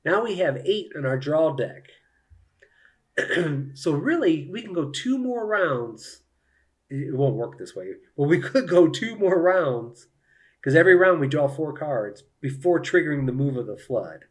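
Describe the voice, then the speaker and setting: A man's voice: on the high side, very animated, unhurried. A man giving casual live commentary on a solo board game, thinking aloud and explaining each move to viewers.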